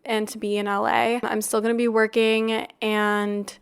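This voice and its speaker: A woman's voice: on the high side, level tone, a little slow, vocal fry, smooth. Chatty congested young woman podcast host. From Canada. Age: 20s. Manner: chatty, upbeat, with frequent "like" and "really". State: congested and slightly raspy from the flu. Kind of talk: casual chat.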